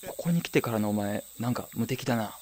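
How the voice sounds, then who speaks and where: A man's voice: medium pitch, expressive, fast talker, lightly acted. An actor playing a wary, reluctant young man in a Japanese radio drama.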